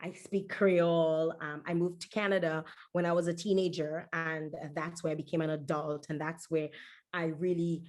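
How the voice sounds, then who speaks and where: A woman from Dominica, telling stories in an animated, conversational way as an interview guest. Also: low voice, moderate pace. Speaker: podcast guest.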